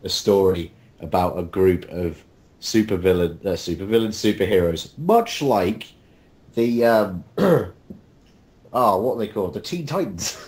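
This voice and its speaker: A man's voice: deep voice, animated, a little slow, darkish tone. A man chatting casually in an interview with a jokey, bantering manner. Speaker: voice actor, cast member. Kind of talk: casual chat.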